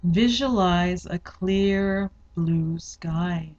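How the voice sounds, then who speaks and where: A woman leading a guided yoga nidra meditation, giving instructions in a measured, deliberate way with spaced-out phrases. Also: lowish voice, darkish tone, dull audio.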